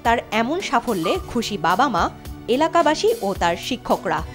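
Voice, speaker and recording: Lively Bangladeshi woman narrating over music. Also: a little high, measured pace. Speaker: reporter narrator. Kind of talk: scripted news feature narration.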